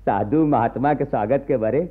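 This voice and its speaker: An actor playing a poor, humble Indian peasant farmer, with a rural Awadhi accent and a deferential, exclaiming manner, in a radio play. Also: male voice, mid-range voice, moderate pace, husky, lightly acted. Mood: humble, grateful. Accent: Awadhi, rural north Indian. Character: poor, humble peasant farmer, anxious to please, scolding father. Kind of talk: radio drama acting. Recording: muffled, tinny archive radio recording.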